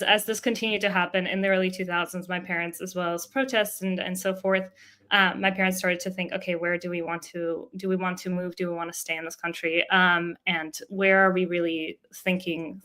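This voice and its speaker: A young woman from Russia, speaking English as a second language after Russian. She talks earnestly and openly as a podcast guest. Her voice sits a little low but sounds bright and forceful. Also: flat delivery, moderate pace. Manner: earnest and open, many "um" fillers. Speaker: guest, startup co-founder. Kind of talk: podcast interview, personal story.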